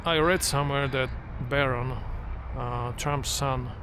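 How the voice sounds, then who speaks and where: A middle-aged man who speaks English as a second language, talking to the camera outdoors in an unhurried, reflective way with frequent restarts. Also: mid-range voice, fairly even intonation. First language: not English. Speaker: vlogger. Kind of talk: casual walking vlog monologue. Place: outdoors near trees and traffic. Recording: outdoor traffic noise. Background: street traffic noise.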